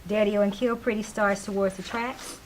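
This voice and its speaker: A woman's voice: medium pitch, steady tone, moderate pace, a little hiss or hum, low-quality recording. A woman reading stage directions as scripted narration for a play.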